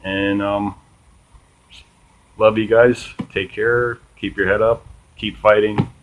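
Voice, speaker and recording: A man hosting a small political commentary channel, reading from an article and chatting casually, with a flat monotone delivery and lots of ums and uhs. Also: fairly low pitch, slow pace, a little hiss or hum, low-quality recording. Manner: swears casually, says "man" often.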